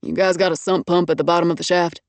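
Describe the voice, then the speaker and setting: A woman reading an audiobook, voicing a tall, calm man who rescues and soothes with wry tenderness. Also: lowish voice, fast talker, no echo, pitched below the performer's own voice, close to an everyday voice. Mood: businesslike.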